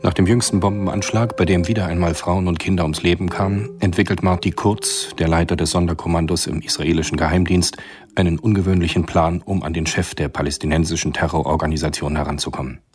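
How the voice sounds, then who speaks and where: An actor playing a sober storyteller, giving scripted narration for a spy thriller radio drama in a husky voice. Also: male voice, deep voice, fairly even intonation, fast talker, natural voice.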